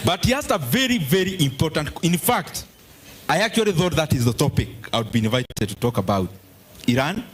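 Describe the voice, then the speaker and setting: Husky Kenyan man giving a speech. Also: fairly high pitch, very animated, brisk, soft background music. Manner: mixes Swahili phrases into English, emphatic. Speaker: politician addressing a forum. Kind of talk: public speech and audience Q&A.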